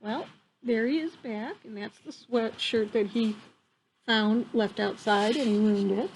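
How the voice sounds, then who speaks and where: A woman in her fifties reads a picture book aloud in an expressive way, pausing for casual asides about the pictures. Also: on the high side, poor audio. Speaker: storyteller reading a picture book to children. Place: outdoor wooden deck among trees. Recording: clear background noise, outdoor ambience.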